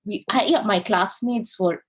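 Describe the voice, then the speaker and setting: A woman's voice: mid-range voice, measured pace, fairly bright tone, breathy edge. A woman from India and Greece talking conversationally and reflectively as an interview guest, often saying "you know". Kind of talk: conversational personal storytelling.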